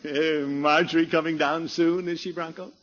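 An actor playing a jovial, blustery middle-aged American uncle in a 1950s radio comedy, with hearty drawn-out exclamations. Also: male voice, a little high, fairly even intonation, fairly bright tone, lightly acted. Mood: genial. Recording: dull, noisy vintage radio recording.

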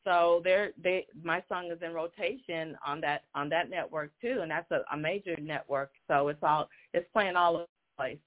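A woman, a guest singer, talking in a casual phone interview, halting with many ums and laughing often. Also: sits low. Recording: tinny phone line.

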